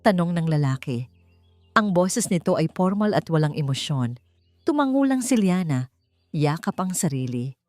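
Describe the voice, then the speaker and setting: A woman's voice: on the low side, sing-song, normal speed, darkish tone, no room sound, faint music behind. A woman reading a scripted romance story as a dramatic narrator.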